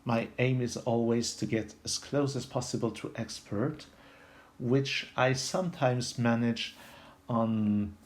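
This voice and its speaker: A man from France with a French accent, giving an unscripted puzzle tutorial in a halting, step-by-step way, thinking aloud. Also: medium pitch. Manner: halting, pauses mid-sentence, explains step by step. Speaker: puzzle video host.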